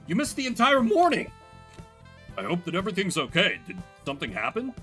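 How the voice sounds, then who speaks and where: A man puts on a gentleman mage from a visual novel. He is loud and forceful, with swooping pitch. His manner is formal and polite, yet fretful and urgent. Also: wildly swooping pitch, a little fast, soft background music, full character voice. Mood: urgent, worried. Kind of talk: visual novel character dialogue.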